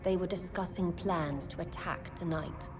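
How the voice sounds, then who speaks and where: An actress playing a wise, cryptic old sewer-dweller with a formal, archaic manner in a video game. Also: female voice, on the low side, steady tone, moderate pace, music in the background, close to an everyday voice. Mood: solemn. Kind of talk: dramatic video game acting.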